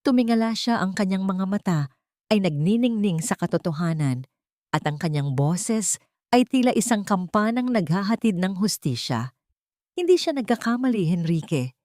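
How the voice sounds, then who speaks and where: A woman's voice: mid-range voice, expressive, moderate pace, darkish tone, dry recording, studio quality. A woman narrating a scripted dramatic story.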